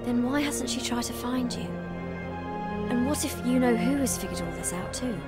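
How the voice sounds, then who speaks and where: Woman voicing cautious brainy young witch, higher-pitched, game drama under loud music. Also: lively intonation, moderate pace, lightly acted. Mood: anxious, urgent. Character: cautious, brainy teenage witch, anxious and loyal. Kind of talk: video game cutscene acting.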